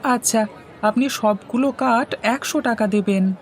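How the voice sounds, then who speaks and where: A woman's voice: a little high, measured pace, a faint crowd, a little hiss or hum, close to an everyday voice. A woman voicing an obedient, hardworking poor boy in a cartoon fairy tale, speaking earnestly and politely. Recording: music bed under the voice.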